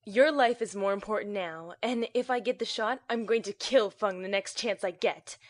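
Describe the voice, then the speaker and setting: A woman's voice: medium pitch, normal speed, natural voice. A woman playing a tough team leader under fire in an audio drama, blunt, urgent and authoritative. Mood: grim, urgent. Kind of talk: audio drama military acting.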